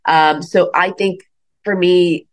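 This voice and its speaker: Low-pitched woman from Bangladesh, chatty storyteller. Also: fairly even intonation, takes it slowly. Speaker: comedian, interview guest. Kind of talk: casual conversational interview.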